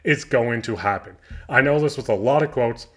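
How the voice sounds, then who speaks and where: A man with a voice of ordinary pitch hosts a hockey news show. He is hoarse, his voice is going, and he talks in a loose, eager fan's way as he works through quotes and adds his own take. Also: normal speed. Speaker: hockey news channel host. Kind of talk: sports news commentary.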